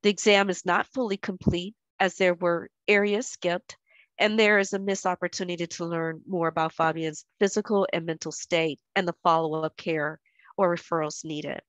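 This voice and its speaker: Woman, head cold, stuffy, low-pitched, dry sound, measured reading on a video call. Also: steady tone, no echo, muffled recording. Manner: measured, reads the scenario aloud with pauses. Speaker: scenario presenter. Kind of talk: workshop presentation on a video call.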